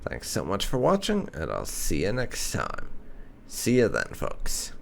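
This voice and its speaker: A man hosting a gaming let's play, musing aloud in a measured way as he roleplays choices. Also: on the low side, wildly swooping pitch, gravelly, slight background noise. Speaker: gaming channel host.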